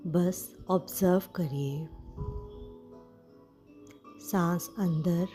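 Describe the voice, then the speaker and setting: An Indian woman narrating a guided meditation in a soothing, gentle manner, leaving frequent pauses between short phrases. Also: lowish voice.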